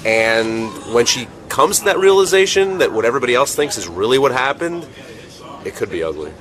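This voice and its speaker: A man's voice: medium pitch, sing-song, brisk, fairly bright tone. A man giving casual, opinionated commentary in a gossipy, rambling way. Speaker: interviewee. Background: music.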